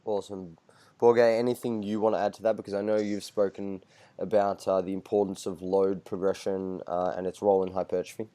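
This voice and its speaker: A man's voice: low-pitched, level tone, fairly quick, mellow. An Australian man with an Australian accent, casually interviewing guests as a podcast host on a video call.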